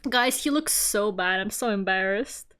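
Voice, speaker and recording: A woman's voice: mid-range voice, a little slow, a bit bright, clean tone, faint music behind. A woman whose first language is Russian, with a mixed Russian and British accent, chatting casually and self-deprecatingly while drawing on a stream. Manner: chatty, self-deprecating, laughs often, many fillers.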